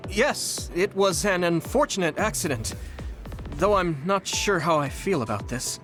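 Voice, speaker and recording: Earnest young rookie, high animated voice. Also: male voice, unhurried. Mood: hesitant. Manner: earnest, polite. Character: earnest, polite, eager young male rookie soldier, anime. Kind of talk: English anime game voice acting. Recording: music behind the voice.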